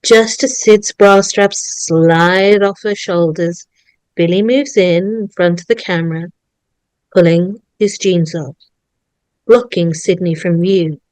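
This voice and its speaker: A woman's voice: fairly low pitch, takes it slowly, a little mellow, smooth, no room sound. A woman narrating at a script table read, reading out screenplay stage directions.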